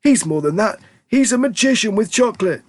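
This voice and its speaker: A man voicing an eager, excitable grandfather in his nineties, exclaiming with theatrical emphasis. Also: high voice, a little fast, pitched above the performer's own voice. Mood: excited. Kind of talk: audiobook character reading.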